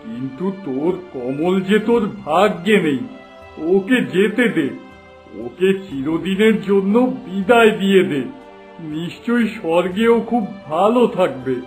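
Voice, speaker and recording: A man's voice: sits high, lively intonation, a little slow, a little mellow. A man playing a solemn, commanding holy man in a cartoon drama, giving counsel. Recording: faint music under the voice.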